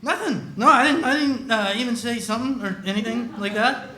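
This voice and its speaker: A man's voice: very high-pitched, a little fast, sharp tone. An actor reading a nervous, fidgety man who stammers, at a live table read. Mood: flustered. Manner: stammering, flustered. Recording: echoey hall with background noise.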